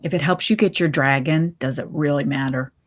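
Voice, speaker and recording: A woman reads as a young girl, the supportive best friend in a storybook tale. Her voice is notably low. She sounds casual, understated and matter-of-fact. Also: a little fast, natural voice. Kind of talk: story reading, dialogue part.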